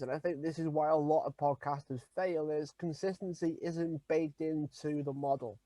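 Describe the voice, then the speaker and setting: Male podcast guest: emphatic, repetitive phrasing, slightly high voice, dry recording. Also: darkish tone, no room sound, poor audio. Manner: emphatic, builds points by repetition. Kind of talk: candid interview talk.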